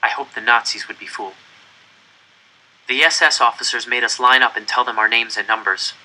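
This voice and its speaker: A man reading a first-person story aloud in an expressive, deliberate, dramatic way. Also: medium pitch, poor audio. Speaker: audiobook reader.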